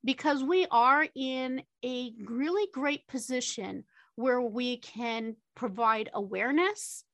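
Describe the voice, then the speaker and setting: A woman's voice: a little high, slow pace, dry recording. A woman moderating a panel discussion, chatty, with many fillers and tag questions.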